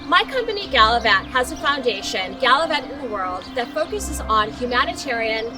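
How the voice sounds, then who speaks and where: A woman's voice: on the high side, big pitch swings, normal speed. Earnest woman host, distant with music. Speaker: host and company founder. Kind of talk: scripted narration and interview. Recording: distant mic with music behind.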